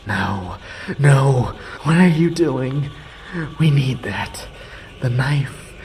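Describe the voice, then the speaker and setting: Man acting a creaky, whispering, obsessive yandere lover. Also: animated, a little mellow, slight background noise. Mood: frantic, possessive. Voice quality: breathy, whispery, creaky low voice. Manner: slow, halting, intimate fragments with sighs. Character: obsessive, deranged yandere male lover, tender and murderous. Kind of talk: scripted horror roleplay audio acting.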